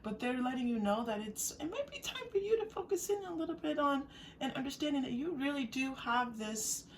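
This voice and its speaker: A woman giving an unscripted, intuitive tarot reading straight to viewers, speaking gently and reassuringly in halting, searching phrases with repeated words. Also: sits high, sing-song. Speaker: tarot reader. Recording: distant mic with faint background noise.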